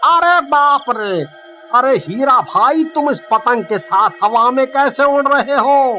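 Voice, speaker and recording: A man voicing a cowardly, excitable villager, alarmed and pleading, in a comic horror cartoon story. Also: very high voice, slow, exaggerated voice. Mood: astonished. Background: music.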